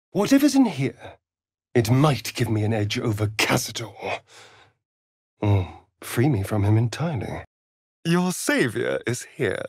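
A vain, sardonic vampire rogue from a fantasy video game. His voice is breathy and swoops widely in pitch. He drawls his words slowly, with arch, theatrical flair. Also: no echo, clean studio sound, exaggerated voice. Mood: intrigued.

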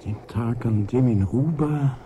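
An actor playing a pensive traveling priest who muses hesitantly and politely in a radio play. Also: male voice, mid-range voice, unhurried, highs cut off, noisy background, natural voice. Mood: brooding. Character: pensive priest, male traveler brooding over memories. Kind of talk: 1980s German radio play acting.

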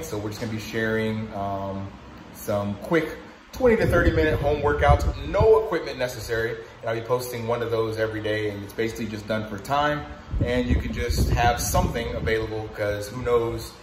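Low-voiced man vlogging on the street. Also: normal speed, noisy background. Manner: conversational, relaxed, filler-heavy. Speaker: fitness vlogger. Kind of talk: casual vlog. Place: outdoors on a city street, then indoors at home. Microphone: phone held at arm's length, wireless earbuds.

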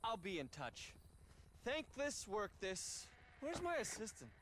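A man's voice: sits high, wildly swooping pitch, a little slow, fairly bright tone, slight background noise, heavily acted. An actor playing a pompous, manic tech billionaire in his thirties in a game cutscene, indignant and theatrical. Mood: smug, scornful. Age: 30s. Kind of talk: animated game cutscene acting.

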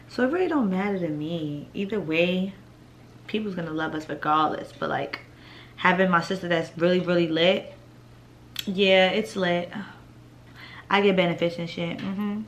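A young woman in her twenties with a deep voice, chatting bluntly and profanely through a Q&A vlog at home. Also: a little hiss or hum. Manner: blunt, profane, laid-back with laughs. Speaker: vlogger answering viewer questions. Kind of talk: casual Q&A vlog. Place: living room at home, indoors.

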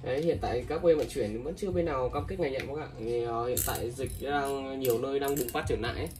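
Người đàn ông bán máy câu, nói xa mic. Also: male voice, medium pitch, fast talker, a little mellow. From Vietnam. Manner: repetitive, many fillers, addresses buyers as brothers. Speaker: fishing tackle seller. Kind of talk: casual sales livestream. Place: indoors, table of fishing reels. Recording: distant mic, music playing behind.

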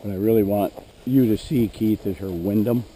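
Hoarse man narrating a field hunt. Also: on the low side, steady tone, normal speed, warm tone, slight background noise, low-quality recording. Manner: plain, matter-of-fact, clears throat often. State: hoarse, losing his voice. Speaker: gun dog trainer. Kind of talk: narrating a hunt while commanding a dog. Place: open grassy field outdoors. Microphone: head-mounted action camera.

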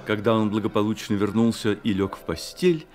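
A man narrating a literary radio drama as an expressive, measured storyteller. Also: lowish voice, soft background music, natural voice. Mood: hushed suspense. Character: storyteller of a boy's secret adventure.

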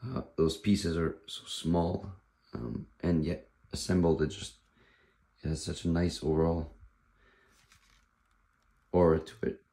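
A man with a hoarse, fading voice, casually showing off a sword with frequent pauses and restarts. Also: low-pitched, warm tone. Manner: halting, with many fillers and restarts. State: hoarse, losing his voice. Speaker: sword dealer presenting a katana. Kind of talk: casual show-and-tell.

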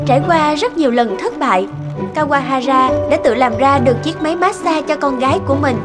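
Music sits under a woman narrator with a high voice. She reads scripted story narration. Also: brisk. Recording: music behind the voice.

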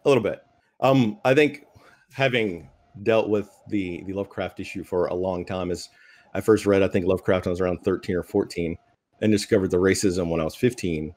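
Low-pitched man in earnest discussion. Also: fairly even intonation, a little fast, darkish tone. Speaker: panelist, game creator. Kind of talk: panel discussion, earnest opinion. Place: room at home, on a video call. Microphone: video call microphone.

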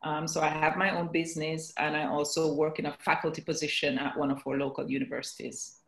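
A middle-aged woman gives a panel introduction. Her voice is very low for a woman. The room around her is strongly echoey. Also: little pitch movement, brisk, fairly bright tone. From Jamaica. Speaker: panel guest, nurse educator. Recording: echoey room on online call.

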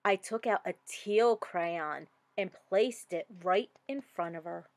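A woman reading a children's story aloud with expressive, dramatic emphasis. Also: lowish voice, slow, no room sound. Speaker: storybook reader.

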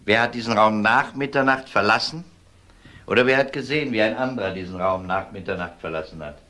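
A man's voice: on the low side, brisk, bright, forceful tone. A man playing a composed police detective in a crime radio drama, questioning politely and methodically. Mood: matter-of-fact, probing. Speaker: actor.

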